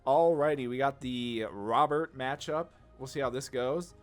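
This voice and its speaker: A man's voice: medium pitch, unhurried, clear, resonant tone. Casual man narrating card gameplay, music behind. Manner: informal, rambling, slangy. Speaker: gaming content creator. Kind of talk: casual card game commentary, thinking aloud. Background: background music.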